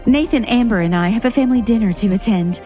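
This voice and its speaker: An actress playing a bossy, controlling older sister who talks down to others in an audio drama. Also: female voice, a little high, fast talker, warm tone, faint music behind, lightly acted. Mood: dismissive. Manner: condescending and commanding.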